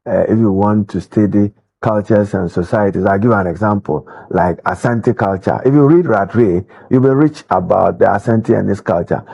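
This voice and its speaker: A man with a Ghanaian English accent, an education official answering interview questions in a measured, explanatory way with filler pauses. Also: on the low side, vocal fry, dark tone, husky.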